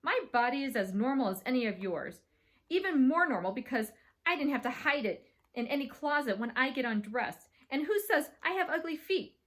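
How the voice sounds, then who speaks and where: An indignant young girl played by a woman narrator from a children's audiobook. She is hot-tempered and dramatic, with a high voice that swoops around. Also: lively intonation, normal speed, a bit bright. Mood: indignant, shouting. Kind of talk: children's audiobook character acting.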